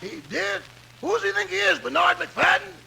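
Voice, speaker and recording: Very gravelly drawling valet, high-pitched. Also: male voice, expressive, moderate pace, exaggerated voice. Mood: wisecracking. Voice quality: gravelly, raspy. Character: raspy-voiced loyal wisecracking male valet. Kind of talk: 1950s radio comedy acting. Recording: noisy old radio recording.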